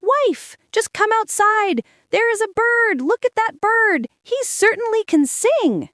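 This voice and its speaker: A woman's voice: extremely high pitch, lively intonation, slow, fairly bright tone, dry recording, studio quality, voice pushed up, full character voice. A woman voicing a cheerful, simple-hearted shoemaker who exclaims eagerly. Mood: excited.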